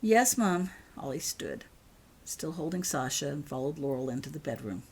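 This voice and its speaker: A woman's voice: a bass voice, normal speed, a little mellow, slightly rough. A woman reading her own story as scripted audiobook narration.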